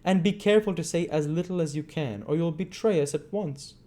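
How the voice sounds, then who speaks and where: A man's voice: a little high, fairly quick, warm tone, pitched above the performer's own voice, lightly acted. A man voicing a bold, bossy married woman from a storybook, curt and challenging.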